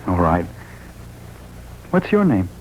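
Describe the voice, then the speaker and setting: An actor playing a dazed, frightened man who has lost his memory, in an overwrought, halting 1940s radio drama style. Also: low voice, huge pitch swings, heavily acted. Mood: subdued, wondering. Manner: agitated, halting, overwrought delivery. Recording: muffled, hissy 1940s radio recording.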